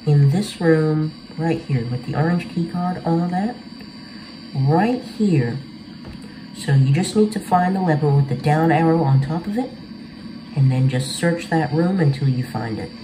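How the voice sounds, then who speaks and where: A man's voice: fairly high pitch, a little slow, noisy background. A young man giving casual game walkthrough commentary as the host of a gaming video. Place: small bedroom, indoors. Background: game music.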